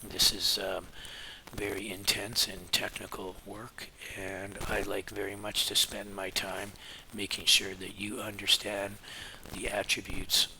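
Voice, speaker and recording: A middle-aged man with a hoarse, scratchy voice, giving a relaxed, rambling market update full of pauses. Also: fairly low pitch. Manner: relaxed and meandering, many filler pauses. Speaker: market forecasting teacher. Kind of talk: casual spoken market update.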